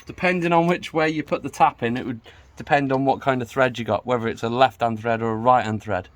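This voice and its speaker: A man's voice: medium pitch, fairly even intonation, fast. A man working as a mechanic, explaining technical details in a matter-of-fact, teasing way during casual garage chat. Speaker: mechanic helping with the build. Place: garage workshop.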